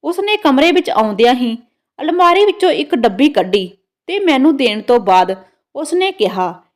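High-pitched, lively woman narrating a story. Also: normal speed. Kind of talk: scripted first-person story narration.

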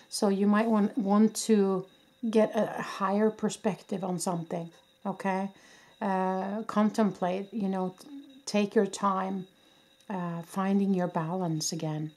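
A woman reads tarot cards at a candlelit table indoors, her voice worn from a cold. She speaks gently and reassuringly, with many fillers and small restarts. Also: medium pitch. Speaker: tarot reader. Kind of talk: casual pick-a-card tarot reading. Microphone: camera above a table, hands only.

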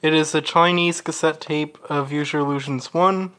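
A woman narrating a casual unboxing in a halting, deliberate way. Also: low-pitched, fairly even intonation, fairly bright tone, slight background noise. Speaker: unboxing video maker.

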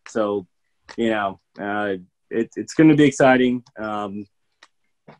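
A man's voice: on the low side, a little slow, darkish tone. A middle-aged man from Michigan, a bourbon educator, talking casually on a video call from a room at home, rambling through many fillers with an eager, upbeat manner. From Michigan, USA. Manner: rambling, filler-heavy, enthusiastic.

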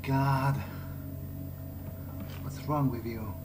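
A man's voice: mid-range voice, steady tone, drawn-out words. A man giving in-character roleplay commentary as he plays a game, with wry asides to himself. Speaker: gamer playing in character. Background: loud game music.

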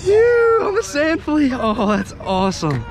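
A young American man giving excitable, slangy casual commentary while fishing, recorded outdoors on an action camera. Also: very high-pitched, unhurried, a crowd in the background. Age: young adult. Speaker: fishing vlogger. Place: outdoors on a small boat under a bridge. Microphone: head-mounted action camera. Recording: noisy outdoor action-camera audio on boat.

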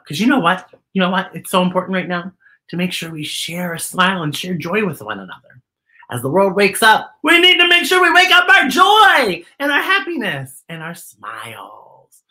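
A man's voice: high voice, very animated, normal speed, pushed and bright. A middle-aged man hosting a children's storytime, reading aloud and chatting to young viewers in a playful, theatrical way. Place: living room, indoors.

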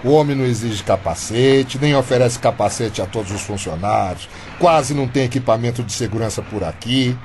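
A man's voice: medium pitch, a little fast, darkish tone, a little hiss or hum, lightly acted. A male actor playing an injured, worried, aggrieved bricklayer with a Brazilian accent in a radio soap. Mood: warning, serious.

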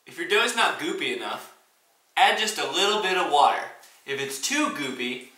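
A man's voice: fairly high pitch, big pitch swings, normal speed, bright, forceful tone, recorded from a distance. A young man co-hosting a playful home cooking tutorial, joking around while giving instructions. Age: young adult. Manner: jokey, teasing, instructional. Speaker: cooking show co-host. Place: small home kitchen, indoors. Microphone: camera mic from a distance. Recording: echoey kitchen from off-mic.

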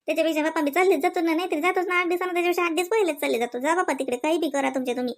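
A woman's voice: very high voice, very fast. A woman voicing an aggrieved young village wife in a comedy cartoon, rambling and complaining with a Varhadi Marathi accent. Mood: exasperated.

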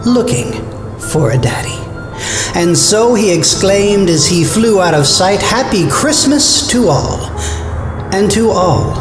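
A woman playing a theatrical, easily scandalized storyteller in an audio drama, with expressive delivery. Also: on the low side. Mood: storybook grandeur. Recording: roomy echo, music behind.

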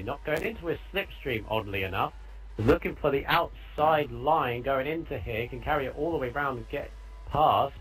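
A man with a mid-pitched voice commentates live on a racing game. His delivery is casual and conversational, following the cars as they go. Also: a bit bright, a little hiss or hum, rough recording.

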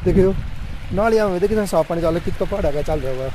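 A man's voice: high-pitched, animated, very fast. A man in his thirties chatting casually to viewers as he vlogs a road trip, filming on a handheld phone outdoors and from a car. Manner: chatty, keeps calling viewers "brother". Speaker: travel vlogger. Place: outdoors on mountain roads and in a car. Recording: noisy outdoor phone recording.

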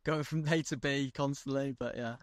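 A man's voice: mid-range voice, fairly even intonation, moderate pace, no echo, studio quality. A young man from North Wales, a guest on a video call from outdoors, talking bluntly and chattily about his trade. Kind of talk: casual video-call interview. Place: outdoors on a lawn by the coast.

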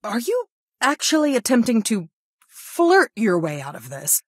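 A woman voicing a strict school guidance counselor in a video game, with a formal, measured, reproachful way of talking. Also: on the high side, wildly swooping pitch, slightly rough, dry recording, studio quality, exaggerated voice. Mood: appalled. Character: stern female school guidance counselor, adult, strict and disappointed. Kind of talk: video game voice acting, scolding lines.

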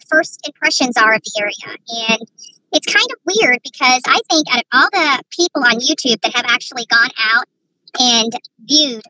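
A woman vlogger giving casual, rambling commentary to her viewers in an earnest, halting way, repeating herself. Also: sits high, bright, forceful tone, distant mic, poor audio.

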